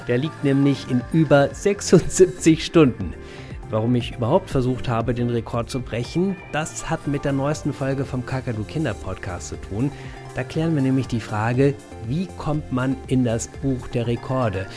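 A man's voice: mid-range voice, normal speed, over music. A man hosting a children's podcast, delivering a chatty scripted promo straight to listeners.

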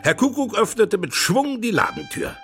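A man narrating a children's radio play as an expressive storyteller. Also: high-pitched, normal speed, soft background music.

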